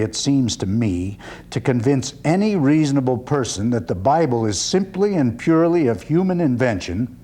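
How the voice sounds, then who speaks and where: A male actor performs a 19th-century orator and proud veteran in a one-man play. The man's voice sits at a medium pitch and swings widely for emphasis. He declaims in deliberate, measured phrases, with dry irony and a freethinker's conviction. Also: darkish tone, close to an everyday voice. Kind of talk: dramatic reading, theatrical oratory.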